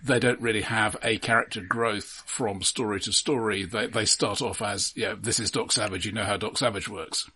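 Man's slightly husky, analytical podcast voice. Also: on the low side, steady tone, normal speed, few pauses, sharp tone. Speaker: podcast co-host. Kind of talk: casual film discussion.

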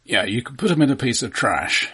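A man's voice: medium pitch, sing-song, brisk, sharp tone, slightly rough. A man co-hosting a film podcast, giving wry, colloquial opinions in a casual discussion.

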